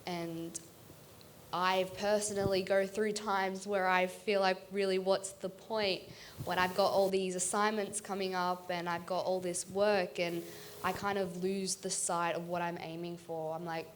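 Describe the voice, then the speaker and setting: A woman's voice: on the low side, little pitch movement, unhurried, a little hiss or hum. A young Australian woman, a student on a discussion panel, speaking earnestly and thoughtfully into a handheld mic in a large auditorium. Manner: earnest, thoughtful, with many ums.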